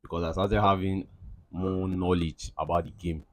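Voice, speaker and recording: Young male interviewee, very deep voice, loose rambling answers, dry close recording. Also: little pitch movement, moderate pace, dry recording. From Cameroon. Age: young adult. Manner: rambling, many fillers and restarts. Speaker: interviewee, aspiring footballer.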